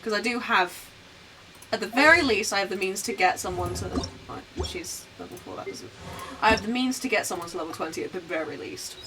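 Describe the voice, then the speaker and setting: A woman's voice: medium pitch, expressive, fairly quick, noisy background. A woman game streamer chatting casually over gameplay, rambling in a giggly way. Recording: slightly distant mic, music behind. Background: game music.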